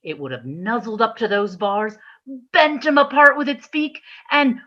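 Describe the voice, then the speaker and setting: A woman's voice: fairly high pitch, very animated, unhurried, a bit bright, full character voice. A woman playing an evasive, shifty pet-shop keeper in an amateur radio comedy, stalling and blithely denying everything. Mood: blithely insistent. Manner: hesitant, stalling, blithely insistent. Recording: dull, band-limited recording.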